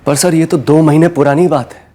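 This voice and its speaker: An actor playing a wary, defensive husband in a TV crime drama, explaining himself earnestly. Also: male voice, fairly high pitch, fairly even intonation, fairly quick, natural voice. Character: wary, defensive husband under police questioning. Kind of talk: Hindi TV crime drama acting. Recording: loud music behind dialogue.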